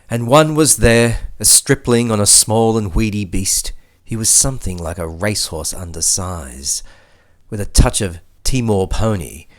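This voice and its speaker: A low-pitched Australian man recites poetry with theatrical flair. His delivery is slow and his pitch moves widely, swelling on key phrases and sinking to hushed endings. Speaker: poetry reader. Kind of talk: dramatic recitation of a bush ballad.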